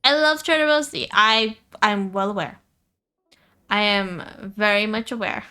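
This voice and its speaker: Bright-voiced woman streaming games. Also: mid-range voice, lively intonation, slow pace, smooth. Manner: chatty, repeats phrases for emphasis. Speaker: live streamer. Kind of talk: casual gaming stream chat.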